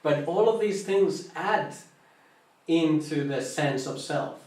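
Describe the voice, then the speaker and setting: A man with a Finnish accent, a Buddhist monk giving an informal meditation talk, halting as he searches for English words. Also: fairly high pitch, a little mellow. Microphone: online live stream, distant mic. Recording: very echoey room, far from mic.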